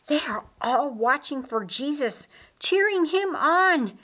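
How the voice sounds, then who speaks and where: A woman's voice: high-pitched, animated, slow pace, bright, forceful tone, pitched above the performer's own voice, full character voice. A woman voicing a devout man in an audiobook, joyfully and emphatically proclaiming his faith. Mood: exultant. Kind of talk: audiobook character dialogue.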